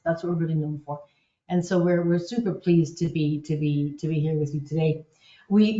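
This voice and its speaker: A woman's voice: sits low, darkish tone, echoey room, highs cut off. A woman presenting a live webinar in an upbeat, enthusiastic, loosely conversational way. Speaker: webinar presenter, agency content officer.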